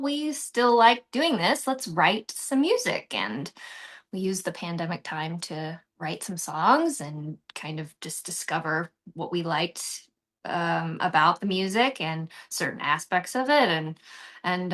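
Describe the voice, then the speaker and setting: A woman's voice: lowish voice, sharp tone. An American woman from Texas talking casually and animatedly as an interview guest, telling personal stories. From San Antonio, Texas, United States. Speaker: interview guest, actress and swing band musician.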